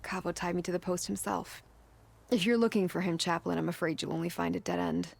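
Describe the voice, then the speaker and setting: Tough beat-up warrior lady, weary n blunt, gravelly low voice, video game cutscene. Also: steady tone, brisk. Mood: weary, bitter. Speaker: game character actor. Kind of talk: dramatic game cutscene acting. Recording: music behind the voice.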